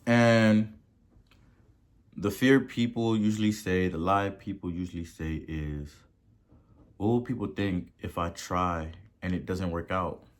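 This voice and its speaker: A man with a Haitian accent hosting a podcast and giving a motivational talk, preachy, repeating words for emphasis and swelling to loud peaks. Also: low-pitched, measured pace. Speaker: podcast host.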